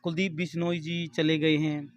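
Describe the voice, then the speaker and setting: A man's voice: fairly high pitch, little pitch movement, moderate pace, muffled recording. A man reporting and asking questions in an informal local news field interview.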